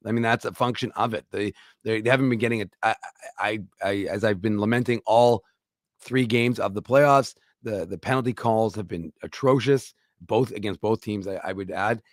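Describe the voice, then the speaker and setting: A man's voice: lowish voice, normal speed, no room sound, studio quality. A man co-hosting a hockey talk show, giving emphatic, opinionated takes in casual chat.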